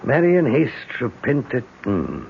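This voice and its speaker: An actor playing an avuncular older English police inspector, gravelly and husky, genial yet wary, in an old-time radio drama. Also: male voice, mid-range voice, sing-song, slow, slight background noise, heavily acted. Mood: pensive, wary. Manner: genial, old-fashioned British phrasing. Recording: tinny old radio broadcast.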